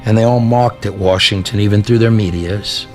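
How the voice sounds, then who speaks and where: A man's voice: fairly low pitch, steady tone, moderate pace. A man delivering a dramatic sermon and reading a prophecy aloud, theatrical and emphatic, drawing out phrases and posing rhetorical questions. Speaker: preacher and storyteller. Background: background music.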